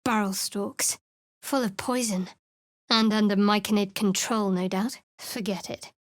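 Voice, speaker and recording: An actress voicing a guarded, sardonic young woman cleric of a dark goddess in a video game, with a dry, secretive manner. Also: mid-range voice, fairly even intonation, unhurried, no room sound, close to an everyday voice. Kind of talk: video game character voice lines. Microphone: close studio mic.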